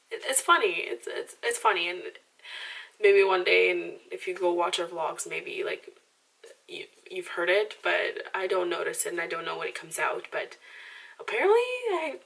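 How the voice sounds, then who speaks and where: Rambling young woman with a gravelly low voice. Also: sharp tone, distant mic, poor audio. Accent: slight Romanian. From Romanian background. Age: 20s. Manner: rambling, lots of "like" and restarts, self-deprecating. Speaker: vlogger. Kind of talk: casual chatty monologue to camera.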